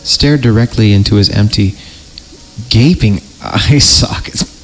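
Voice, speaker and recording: A man's voice: on the low side, measured pace. A man reading a horror story theatrically as a podcast host, breaking in with crude, joking asides. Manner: theatrical narration broken by crude, panicky comic asides. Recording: clearly noisy amateur recording.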